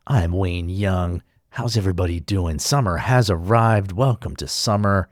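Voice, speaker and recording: A man's voice: sits low, no echo, studio quality. A man hosting a podcast interview, friendly and joking. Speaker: podcast host.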